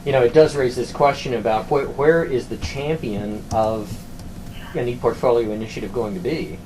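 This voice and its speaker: Male academic, mid-pitched, halting and measured in work discussion; conference call with clear background noise. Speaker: university academic, former program director.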